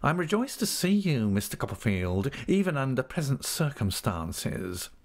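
A man's voice: medium pitch, very animated, moderate pace, darkish tone, pitched above the performer's own voice. A man voicing a falsely humble, scheming clerk in an audiobook, meek, oily and obsequious. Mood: fawning. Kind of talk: audiobook character acting.

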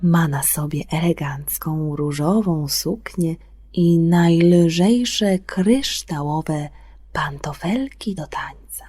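A woman telling a children's fairy tale as scripted narration, expressive and gentle, with deliberate phrasing. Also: sits low, husky, dry recording. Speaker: storyteller on a children's radio programme.

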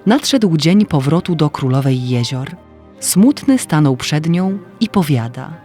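Higher-pitched male storyteller. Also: expressive, a little slow, dark tone, soft background music. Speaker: narrator. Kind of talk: scripted fairy-tale narration.

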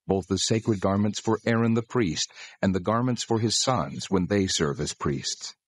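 Deep, husky, solemn God. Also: male voice, dry recording. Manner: solemn, deliberate, authoritative. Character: God, solemn male deity giving commands. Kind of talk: dramatized scripture reading.

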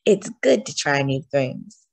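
A woman's voice: very deep voice, big pitch swings, takes it slowly, gravelly, slightly rough, pitched below the performer's own voice, heavily acted. Lady reading as a school gym coach woman in a kids story, firm, instructive, encouraging.

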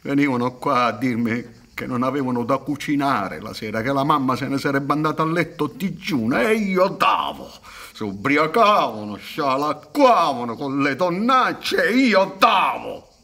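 A middle-aged man acting in a radio drama as an aggrieved, proud peasant son, deferential yet impassioned, with a husky voice. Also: mid-range voice, big pitch swings, normal speed, sharp tone, full character voice. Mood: bitter, indignant. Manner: deferential, then impassioned and indignant. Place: theatre stage set up for radio recording. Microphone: stand microphone with headphones.

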